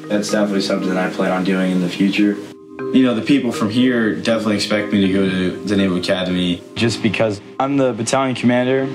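A man's voice: deep voice, a little mellow, roomy sound. A young man, a cadet battalion commander at a military school, speaking reflectively in a documentary interview. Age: young adult.

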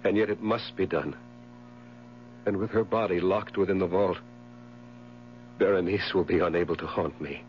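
Haunted widower for vintage radio play, male actor: overwrought, halting, on the edge of madness, dull hummy sound. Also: sits low, steady tone, natural voice. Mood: weary fear. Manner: overwrought, halting, brooding, theatrical. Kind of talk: 1970s radio drama acting. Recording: muffled, noisy 1970s radio broadcast with hum.

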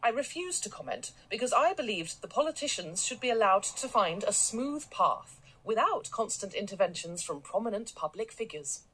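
An actress playing a brisk, self-assured, domineering business boss, commanding and condescending, in a radio drama. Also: female voice, mid-range voice, big pitch swings, a bit bright, husky, poor audio. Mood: pompous, dictating. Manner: commanding, condescending, jargon-heavy. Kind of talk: naturalistic radio drama acting.

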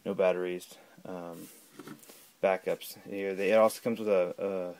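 A man giving a casual, unscripted gadget demonstration at home, talking in a halting, rambling way. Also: low-pitched, steady tone, darkish tone. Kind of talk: casual unscripted product demo. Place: small room at home. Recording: clear background noise with clicks.

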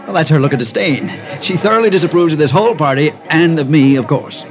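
A man's voice: a little high, expressive, quick pace, lightly acted. An actor playing a jovial, suave and mischievous male party host in a 1950s radio drama, with an effusive manner. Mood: amused. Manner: effusive, chuckling.

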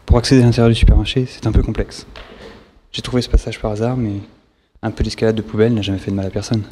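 An actor playing a wary lone disaster survivor who talks to himself in short phrases, in an audio drama. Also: male voice, lowish voice, little pitch movement, very fast, background noise, natural voice. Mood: wary, focused. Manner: muttered self-talk in short phrases. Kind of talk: French audio drama monologue. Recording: loud music behind the voice. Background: loud instrumental music.

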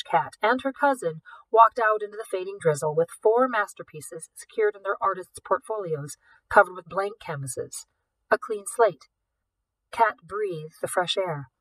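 A woman's voice: fairly low pitch, dry recording, distant mic, rough recording. A woman, a teacher, reading a novel aloud, lifting her voice for the characters' lines. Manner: shifts up and louder for dialogue.

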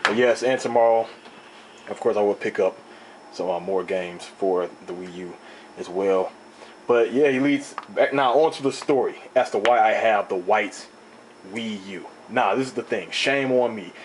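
A young man with a slightly raspy voice, rambling and animated as he talks through an unboxing on his own channel, recorded indoors on a camera mic. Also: fairly low pitch, normal speed, slight background noise, rough recording. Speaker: video host. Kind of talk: casual unboxing talk. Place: indoor room, table top.

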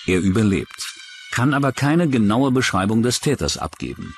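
A man's voice: fairly low pitch, sing-song, normal speed. A man reading scripted true-crime narration as a documentary narrator. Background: music.

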